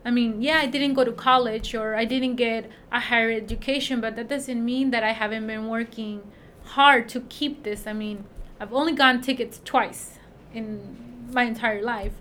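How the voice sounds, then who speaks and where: Halting woman with a Mexican accent. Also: fairly high pitch, steady tone, a bit bright, a little hiss or hum. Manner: halting, many fillers and restarts. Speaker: school staff member. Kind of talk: interview answer.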